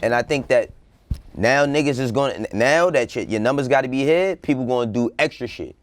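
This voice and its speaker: A man's voice: medium pitch, a little fast. A man co-hosting a casual panel show, talking bluntly in slang with swearing and repeating himself for emphasis. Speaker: show co-host giving opinions.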